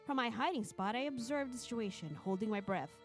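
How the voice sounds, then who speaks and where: Woman streamer, fairly high voice, narrates a visual novel with casual asides, faint music underneath. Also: lively intonation, fairly quick.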